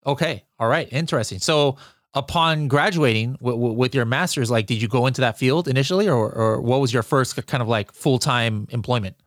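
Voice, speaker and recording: A man's voice: medium pitch, fairly quick, no echo, studio quality. A man hosting a podcast interview, upbeat and jokey, with frequent fillers. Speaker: podcast host. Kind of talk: casual interview chat.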